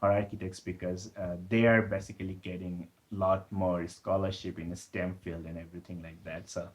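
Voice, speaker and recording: A young man from Bangladesh, a guest in a casual interview, speaking in a relaxed, hesitant way with many fillers. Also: low voice, moderate pace.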